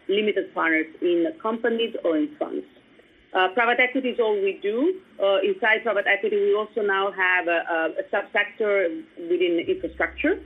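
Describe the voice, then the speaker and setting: A woman's voice: mid-range voice, slightly rough. Italian-accented woman on a tinny line. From Italy. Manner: fluent, businesslike explaining. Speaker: guest investor. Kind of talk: interview answers.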